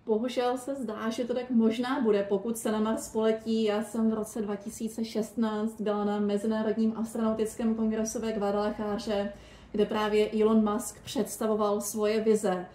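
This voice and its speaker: Woman, interviewer, conversational, soft-edged mellow tone, roomy echo. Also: fairly high pitch, little pitch movement.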